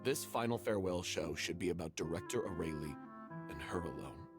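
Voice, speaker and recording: Low-pitched humble man, expressive swings, earnest, intense, self-effacing game character, music behind. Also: fairly quick. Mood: remorseful, determined. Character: earnest, remorseful, anxious man, a humble stage performer. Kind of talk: video game voice acting. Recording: music under the voice.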